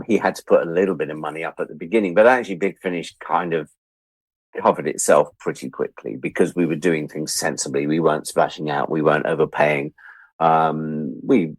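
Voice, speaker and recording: A middle-aged British man chatting casually as an interview guest, telling stories in an animated, self-deprecating, joking way. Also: a bass voice, a little fast, slightly rough, no room sound. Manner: animated storyteller who acts out remembered exchanges. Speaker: interview guest, audio drama producer and director. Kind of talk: casual anecdotal interview talk.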